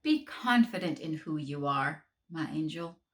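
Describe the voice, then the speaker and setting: A woman's voice: low voice, expressive, measured pace. A woman voicing a loving mother comforting her daughter in a children's storybook, tender and soothing.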